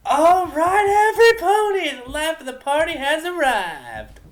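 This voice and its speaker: Man putting on a cocky, shrill party pony mare. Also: sing-song, measured pace, reverberant, exaggerated voice. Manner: loud, showy swagger. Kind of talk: amateur dramatic fan fiction reading.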